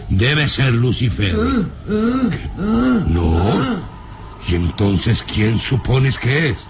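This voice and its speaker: An irascible castle baron in a radio melodrama. His low voice swings widely in pitch and moves at a measured pace, theatrical, commanding and full of scorn. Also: noisy background. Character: imperious, irascible baron, master of a gloomy castle. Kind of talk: old radio serial melodrama acting.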